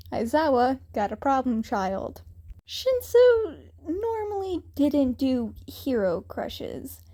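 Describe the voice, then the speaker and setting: A woman's voice: a little high, dark tone. A woman narrating a scripted piece of fan fiction as an audiobook reader. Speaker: podfic reader.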